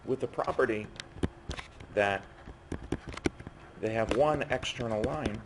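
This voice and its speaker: A man giving a physics lecture while losing his voice, speaking haltingly and often breaking off mid-phrase. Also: on the low side. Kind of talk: university lecture at a board. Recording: noisy classroom recording.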